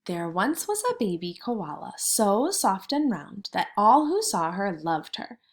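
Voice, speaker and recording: A woman's voice: medium pitch, very animated, a little slow. A woman teaching kindergarten through a read-aloud, instructing and thinking aloud in simple words for young children.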